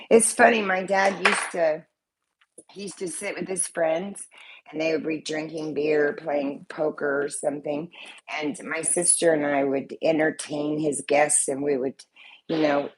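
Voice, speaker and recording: A woman's voice: low voice, slight background noise, poor audio. A woman with a slight cold chatting casually at home, rambling and swearing freely. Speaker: vlogger, soap maker. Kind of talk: casual chat to camera. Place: at home, living room in view.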